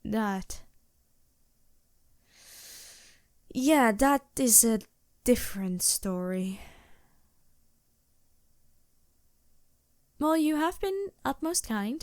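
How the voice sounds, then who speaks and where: A woman's voice: mid-range voice, big pitch swings, a little slow, vocal fry, no echo, studio quality. A woman playing a polite, reassuring vampire merchant in a fantasy ASMR roleplay, speaking formally with small hesitant asides. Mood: bashful, amused. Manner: genteel, formal phrasing with hesitant asides and soft chuckles.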